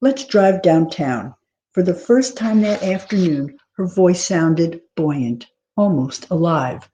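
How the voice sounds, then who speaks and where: A woman with a fairly low, soft-edged voice reads literary narration. The delivery is expressive and scripted, as an author reading a novel. Some noise sits behind the voice. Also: takes it slowly, dull audio. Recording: clear background noise.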